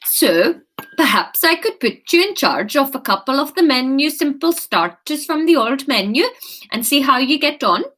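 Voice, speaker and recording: A woman putting on a brisk Swedish-accented head chef. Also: sits high, sing-song, fairly bright tone, voice pushed up.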